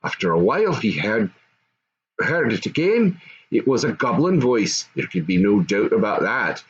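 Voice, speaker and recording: Animated older man reading a storybook. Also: on the low side, normal speed, breathy edge, lo-fi sound. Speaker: storybook reader. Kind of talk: expressive storybook narration.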